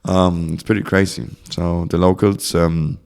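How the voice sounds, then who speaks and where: A man's voice: deep voice, level tone, measured pace, a little mellow, smooth. A German man speaking English with a German accent, as a guest in a casual interview, rambling and searching for words. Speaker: guest, community founder.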